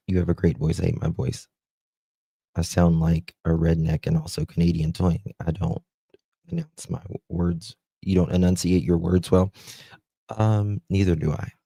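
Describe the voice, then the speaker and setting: A man with a Southern US accent hosting a live stream, chatting slowly with viewers and reading their comments aloud. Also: sits low, vocal fry, dark tone, breathy edge, dry recording. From United States. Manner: slow, chatty, drawling, talks to viewers by name. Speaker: livestream host.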